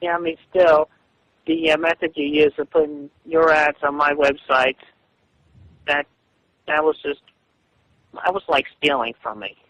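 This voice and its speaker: A man on a phone call, arguing defensively in a halting, repetitive way. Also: on the high side, fairly even intonation, a bit bright, dry recording. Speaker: webcomic artist. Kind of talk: phone argument. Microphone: telephone.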